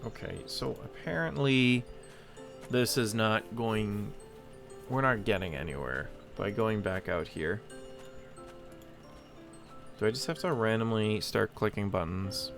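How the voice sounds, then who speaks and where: A man gives casual gaming commentary, thinking out loud in a hesitant way. His voice is fairly low with plenty of fry and lively swings in intonation. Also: measured pace, pauses often. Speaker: game streamer. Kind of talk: casual let's play commentary. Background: game music.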